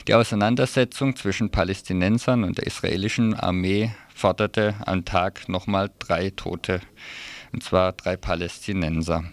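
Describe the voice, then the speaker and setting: A man's voice: sits low, no room sound. A man presenting a radio news summary from notes, explaining events in short, terse phrases.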